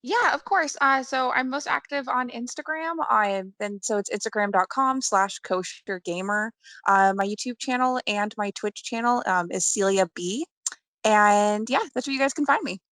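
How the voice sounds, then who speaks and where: A woman's voice: a little high, normal speed, a bit bright, no echo. A woman speaking as a podcast guest in casual chat, upbeat and playful.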